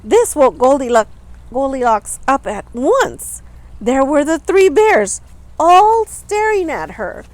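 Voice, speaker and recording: A woman reads a picture book aloud to children in a fairly high, very animated voice. She takes her time over the phrases and speaks expressively, as a storytime reader does. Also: takes it slowly, dry recording. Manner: expressive, with simple asides to young listeners.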